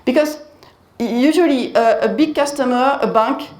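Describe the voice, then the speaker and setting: A woman's voice: high-pitched, a little mellow. Woman presenting in an echoey conference hall. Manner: measured, repeats key phrases. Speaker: conference speaker. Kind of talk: business presentation with Q&A. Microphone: handheld mic.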